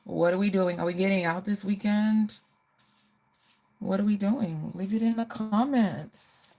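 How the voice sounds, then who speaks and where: A woman's voice: medium pitch, unhurried, warm tone. A middle-aged woman hosting a casual get-ready livestream, chatting to viewers and often repeating her phrases.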